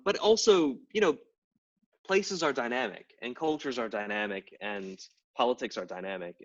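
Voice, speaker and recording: A man's voice: fairly low pitch, a bit bright. A middle-aged man, a game writer and anthropologist, explaining at length in a casual interview with many fillers and restarts. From Tidewater, Virginia. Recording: distant, dull lo-fi live stream audio.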